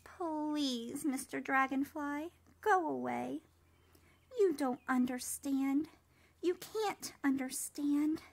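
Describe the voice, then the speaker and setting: A woman's voice: high voice, takes it slowly, vocal fry, pitched above the performer's own voice, exaggerated voice. A woman voicing a sad, self-doubting little male cricket in a storybook, plaintive and wistful. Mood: hurt, frustrated. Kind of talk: storybook character reading.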